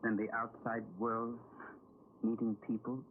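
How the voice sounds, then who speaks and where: A man playing a gentle, reassuring companion in a video game, with a thin, tinny sound. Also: medium pitch, completely flat delivery, measured pace, natural voice. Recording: thin, distant, tinny sound with faint noise.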